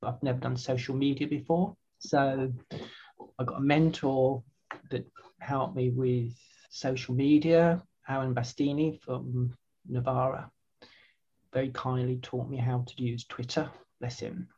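Husky older woman, earnest. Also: a bass voice, fairly even intonation, unhurried, dark tone, lo-fi sound. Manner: earnest, emphatic, repeats words and restarts. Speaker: website founder, guest. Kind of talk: casual interview answers.

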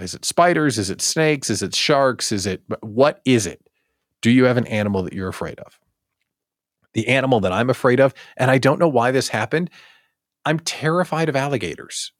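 American man, lowish voice, very lively intonation, dry close sound, casual live-show host, playful emphatic delivery. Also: dry recording. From United States. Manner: playful, emphatic, repeats words for comic effect. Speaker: host of a daily live show for small business owners.

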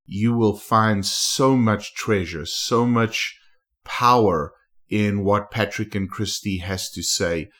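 A man with a lowish voice hosts a casual podcast interview. He talks with eager enthusiasm and rambles through restarts. His tone is informal and chatty. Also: slow, fairly bright tone. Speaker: podcast host.

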